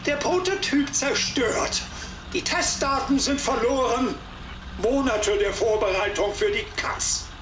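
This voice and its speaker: An actor playing an exasperated, domineering German wartime commander in a video game. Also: male voice, extremely high pitch, measured pace. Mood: angry, bitter. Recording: roomy echo, loud noise behind.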